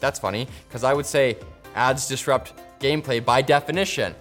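A man's voice: medium pitch, moderate pace, a bit bright, soft background music. A man hosting tech news, reading a script with playful, sarcastic asides.

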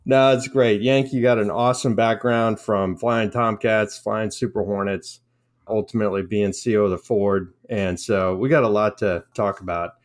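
Friendly low-voiced podcast host man. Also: fairly even intonation, moderate pace. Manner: friendly, upbeat interviewer who laughs easily. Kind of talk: casual podcast chat.

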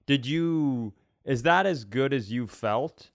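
A man's voice: mid-range voice, expressive, slow, no echo, clean studio sound. A man co-hosting a comedy podcast with casual, wry, self-deprecating banter. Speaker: podcast co-host.

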